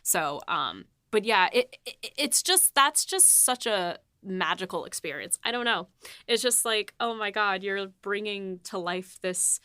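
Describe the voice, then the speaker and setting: A young woman co-hosting a podcast as a writer, chatting casually and gushing with enthusiasm, often tripping over her words when excited. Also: medium pitch, no room sound, studio quality. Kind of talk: casual chat.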